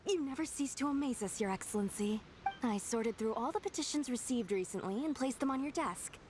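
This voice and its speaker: Fairly high female voice, earnest shrine aide acted, respectful, game dialogue, clear music underneath. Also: expressive, a little fast, lightly acted. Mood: admiring, dutiful. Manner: deferential, earnest. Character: dutiful, admiring young female shrine assistant. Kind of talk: video game voice acting.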